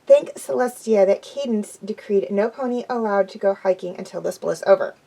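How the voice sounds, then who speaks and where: A woman's voice: mid-range voice, moderate pace, vocal fry, warm tone, low-quality recording. A woman voicing a brash tomboy pony mare. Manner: bossy, boastful.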